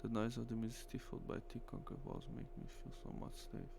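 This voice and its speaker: A man, a native Portuguese speaker, reading visual novel narration aloud in halting English as a streamer. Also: medium pitch, little pitch movement, gravelly. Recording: faint hum and noise.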